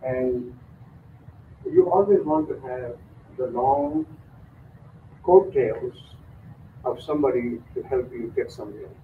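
Older man, slow speech, halting with fillers, host introduction, thin phone-like sound. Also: medium pitch, wildly swooping pitch, a little hiss or hum. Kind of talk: spoken introduction. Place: mosque lecture room. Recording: tinny lo-fi sound.

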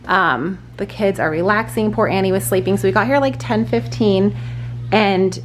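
A woman's voice: medium pitch, moderate pace, slight background noise, rough recording. Chatty mom vlogging from her car. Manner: chatty, rambling with lots of "like" and "um". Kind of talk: casual vlog chat. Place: parked car at a gas station, later a hotel room. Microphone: camera held at arm's length.

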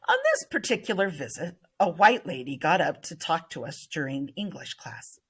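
Older american lady reading a kids story, lowish husky voice, really up and down. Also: expressive, normal speed. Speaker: teacher reading aloud. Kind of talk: expressive children's read-aloud. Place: indoor room, at a computer. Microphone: webcam screen recording.

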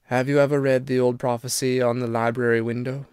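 A man's voice: mid-range voice, animated, moderate pace, no echo, studio quality, close to an everyday voice. A man voicing a weary, sorrowful old ghost from a storybook, dreamy and sighing in manner. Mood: wistful, solemn. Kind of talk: storybook narration character.

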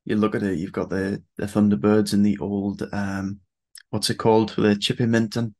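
A man's voice: on the low side, level tone, normal speed, a little mellow. A British man in his twenties, chatting casually as an interviewer. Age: 20s. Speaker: interviewer, host. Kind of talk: casual chat. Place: bedroom, indoors on a video call.

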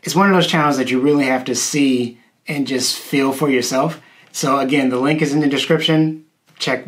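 A man's voice: medium pitch, fairly bright tone. A man in his thirties hosting a video, explaining things casually to his viewers while seated in a small room. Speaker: YouTube host. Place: small indoor room, seated at a wooden table.

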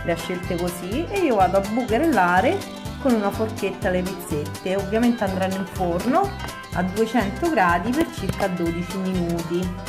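A woman's voice: mid-range voice, expressive, normal speed, music in the background. A woman in her home kitchen, patiently guiding her young daughter through a recipe and praising each step. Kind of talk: casual home cooking video.

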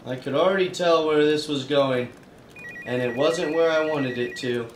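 A man's voice: on the high side, moderate pace, reverberant, recorded from a distance, a little hiss or hum, natural voice, rough recording. A man playing a hard-boiled private detective who narrates with wry, world-weary asides in a church radio play. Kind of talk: noir radio-drama narration.

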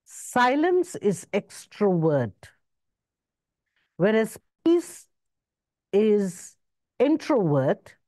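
A woman in her sixties, born in Zambia and raised in Zimbabwe, giving a slow reflective spiritual talk over a video call. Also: big pitch swings, mellow, dry recording. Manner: slow, measured, rising and falling in pitch. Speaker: spiritual speaker. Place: indoor room with curtains. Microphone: video call webcam.

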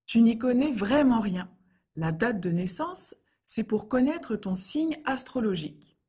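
Brief: woman, higher voice, breathy, clear scripted lesson reads. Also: animated, unhurried, mellow. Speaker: dialogue reader for French learners. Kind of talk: scripted learner dialogues.

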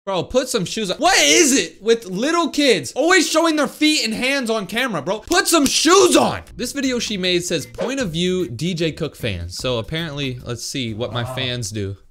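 A man's voice: sits high, big pitch swings, normal speed, few pauses, a bit bright. A young man from Illinois reacting to videos at a desk mic in a small bedroom, with incredulous, exaggerated outbursts. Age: young adult. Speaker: YouTuber reacting to a hater. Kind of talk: reaction commentary. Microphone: studio mic with headphones.

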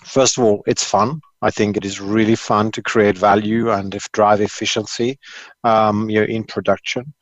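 A man's voice: on the low side, normal speed, no echo. Middle-aged German man on a muffled call. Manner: relaxed, many "um, you know" fillers. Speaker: panel guest. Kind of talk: casual panel talk. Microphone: video call. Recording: dull, dry lo-fi call audio.

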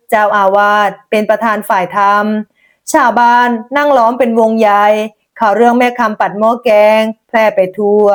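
A woman narrating a scripted folk tale as a storyteller, dramatic and descriptive. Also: fairly high pitch.

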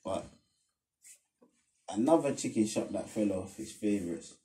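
A man's voice: fairly low pitch, expressive, unhurried, warm tone. A young man with a London accent, a podcast co-host talking in a laid-back, dismissive way. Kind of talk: casual chat. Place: small indoor studio room. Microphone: clip-on lapel mic.

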